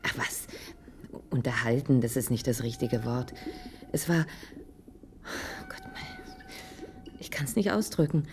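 An actress playing an awestruck woman researcher in a radio play, halting and intimate as she searches for words. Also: extremely low pitch, huge pitch swings, soft background music, full character voice. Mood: overwhelmed.

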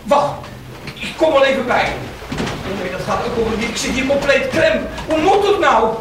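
Exasperated high-voiced husband in a cavernous echo. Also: male voice, animated, moderate pace. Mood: alarmed. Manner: exasperated, fussing, bossy. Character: exasperated, flustered husband, a town mayor. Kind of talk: Dutch radio play acting. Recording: very echoey, distant, noisy radio recording.

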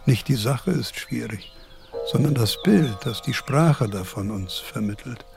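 An actor playing a precise, dry old philosopher in a radio play, pedantic and formal in manner. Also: male voice, medium pitch, lively intonation, measured pace, creaky, music in the background. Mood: musing.

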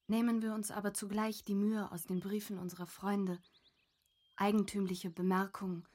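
A woman's voice: mid-range voice, a little mellow, natural voice. An actress playing a reflective, wistful young woman reading her diary in a literary radio drama, composed and carefully articulated.

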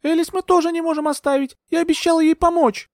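A man voicing a frightened but defiant young woman, the heroine of an audiobook, pleading in a high, desperate voice. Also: level tone, fast talker, no room sound, clean studio sound.

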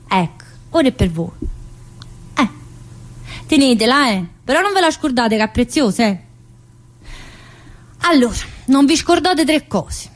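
A woman's voice: high voice, big pitch swings, measured pace, long pauses. A woman performing a theatrical monologue as a chatty, bossy, needy prisoner, with a colloquial, teasing manner. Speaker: actor in a solo stage monologue.

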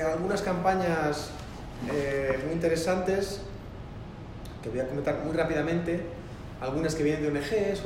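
A man teaching a workshop in a loose, rambling lecture style, with a mixed Spanish and Argentine-sounding accent and many restarts. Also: a little high. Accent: mixed, part Argentine-sounding Spanish. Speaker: workshop instructor. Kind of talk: classroom lecture. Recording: very echoey room with noise.

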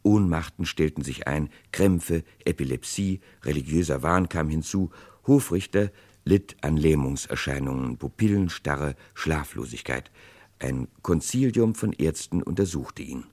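An actor narrating a 1960s radio crime play as a grave chronicler, with dramatic, deliberate delivery. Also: male voice, a bass voice, animated, darkish tone, full character voice. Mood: grave, suspenseful. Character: grave male chronicler of a historic murder case.

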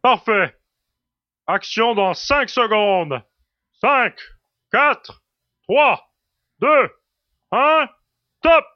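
A man's voice: very high voice, expressive, drawn-out words, pauses often, no echo, muffled recording, exaggerated voice. A man playing a giant space colonel, decisive and commanding, in a science fiction audio drama.

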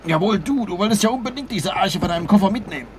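An actor playing a tired, irritable husband in a German radio play, grumbling sarcastically over the rumble of a train. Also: male voice, lively intonation, quick pace. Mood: annoyed. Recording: train rumble noise behind the voice. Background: moving train ambience.

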